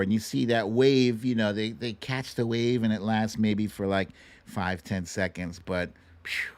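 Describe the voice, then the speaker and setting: A man hosting a livestream, giving casual, slangy and animated commentary on clips, with some swearing. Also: mid-range voice, no room sound. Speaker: livestream show host.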